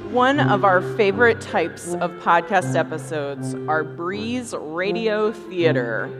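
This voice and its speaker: A woman's voice: fairly low pitch, expressive, takes it slowly, over loud music. A woman hosting a live comic stage show, introducing material to a convention hall. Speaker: podcast host on stage. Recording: live hall with long reverb.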